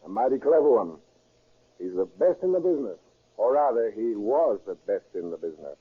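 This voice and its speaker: A man's voice: fairly low pitch, sing-song, brisk, breathy edge. A man playing a brusque, hard-boiled police detective with an American accent in a 1930s radio drama, curt and commanding. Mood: accusing. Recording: muffled, worn 1930s radio recording.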